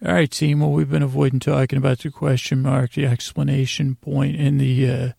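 Very creaky-voiced man rambling gently. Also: mid-range voice, no echo, clean studio sound. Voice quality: creaky, gravelly. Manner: meandering, halting, trailing off and circling back; goofy, absurd deadpan nonsense. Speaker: podcast host. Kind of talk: rambling bedtime sleep podcast monologue.

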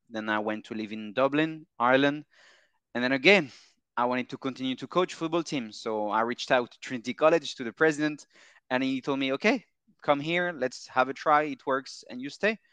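A man in his thirties with a French accent, speaking in a smooth, resonant voice that sounds close and dry. He talks his way through answers with pauses, fillers and repeated words. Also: mid-range voice, fairly bright tone, dry recording. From France. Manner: hesitant, repeats words and phrases, many fillers. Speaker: mental coach. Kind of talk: relaxed interview answers.

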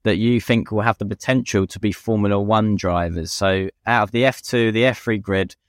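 A man's voice: lowish voice, steady tone, normal speed, dry recording, clean studio sound. A British man co-hosting a casual motorsport chat as a racing driver, speaking in an informal, colloquial, opinionated way. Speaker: podcast co-host and racing driver.

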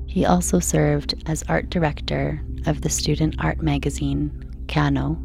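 A woman calmly reading a scripted bedtime narration in a hushed, measured and soothing way. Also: sits low, vocal fry, faint music behind. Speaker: narrator of a sleep podcast.

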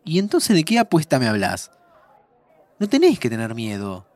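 An actor playing a reassuring, gently teasing best friend with a Buenos Aires accent, insistent and interjecting in audio drama dialogue. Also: male voice, medium pitch, huge pitch swings, moderate pace, no echo. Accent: Argentine, Buenos Aires.

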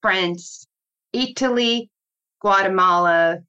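A woman from Brazil, a native Portuguese speaker, tells her story in an easy interview. Her voice sits at an ordinary pitch and she speaks reflectively, restarting sentences now and then. The audio is a bit dull and lo-fi. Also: takes it slowly, bright, forceful tone, smooth. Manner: reflective, with restarts and light laughs. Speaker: guest, board member and consultant. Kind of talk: relaxed interview conversation.

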